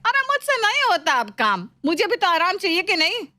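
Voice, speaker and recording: A woman's voice: very high voice, very animated, fairly quick, bright, forceful tone, no room sound, pitched above the performer's own voice, full character voice. A woman voicing a pushy, coaxing middle-aged mother, exasperated and teasing.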